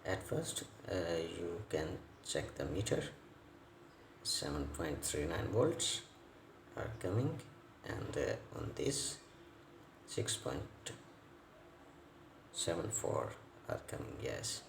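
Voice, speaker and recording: A man giving an unscripted hands-on repair tutorial as a technician, speaking non-native English in halting, piecemeal phrases and repeating words. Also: deep voice, big pitch swings, gravelly. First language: not English. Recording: roomy echo, faint background noise.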